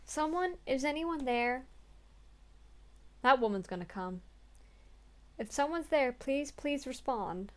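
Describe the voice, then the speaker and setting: A woman's voice: high-pitched, expressive, takes it slowly, pauses often. A young woman playing through a story game, reading the text aloud earnestly and breaking off into crude, swearing jokes.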